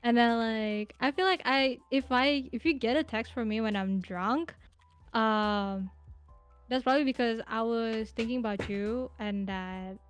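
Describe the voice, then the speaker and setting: A woman's voice: fairly high pitch, unhurried, clear, resonant tone, faint music behind. A woman in her twenties, a podcast guest chatting casually, telling rambling stories with lots of fillers and giggles. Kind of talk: casual interview chat.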